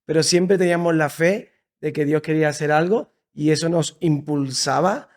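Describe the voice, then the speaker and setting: A man in his thirties with an Andalusian Spanish accent, telling his story as a podcast guest in a lively, repetitive way. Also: fairly high pitch, normal speed. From Seville, Andalusia. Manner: warm, enthusiastic storytelling with frequent repetitions. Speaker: podcast guest, church leader. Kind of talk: casual conversational interview. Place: creative studio in Madrid.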